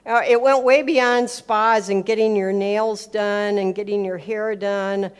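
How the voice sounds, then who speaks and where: A woman's voice: mid-range voice, fairly even intonation, a little slow, clear, resonant tone. A woman from America and Switzerland giving an informal teaching talk, emphatic, repeating words for effect and acting out bits of dialogue. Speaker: speaker giving a talk on faith and business.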